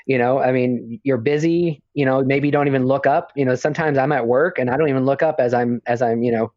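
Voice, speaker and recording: A man's voice: medium pitch, fast talker, a little mellow, no room sound. A man co-hosting a podcast in casual chat, talking hesitantly with lots of fillers and restarts. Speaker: podcast co-host. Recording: dull lo-fi audio.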